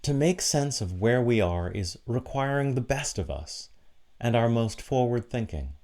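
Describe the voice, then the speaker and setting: A man delivering a prepared, reflective sermon with measured, deliberate phrasing and short pauses for emphasis. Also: medium pitch, expressive, a little mellow. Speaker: preacher giving a sermon for an online church service.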